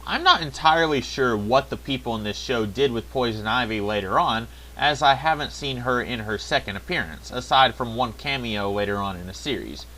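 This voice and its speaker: Man, reviewer, scripted narration, wry and sarcastic, very animated pitch. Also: normal speed, few pauses, poor audio. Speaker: video reviewer.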